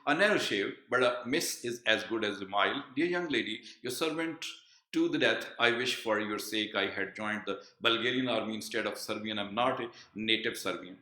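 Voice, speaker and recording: A man reading out the lines of a courteous Swiss mercenary soldier from a play, with slightly raised pitch. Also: moderate pace, bright, forceful tone. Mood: polite. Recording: echoey room.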